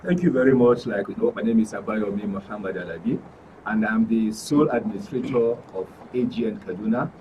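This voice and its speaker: A man's voice: on the low side, a little fast, lo-fi sound. A Nigerian man speaking formally as an official guest in a studio interview. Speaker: guild administrator, studio guest. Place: radio and TV studio. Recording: slightly distant mic, faint noise.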